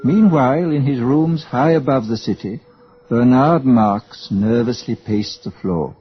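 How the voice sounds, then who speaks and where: A man's voice: medium pitch, unhurried, slightly rough, soft background music. A man delivering scripted narration as the narrator of a radio drama. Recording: dull, lo-fi old radio recording.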